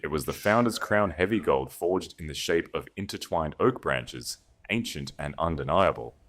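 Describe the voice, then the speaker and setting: Very deep-voiced male storyteller. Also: lively intonation, a bit bright. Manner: solemn, dramatic, deliberate emphasis. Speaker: storyteller, narrator. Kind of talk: dramatic scripted audiobook narration.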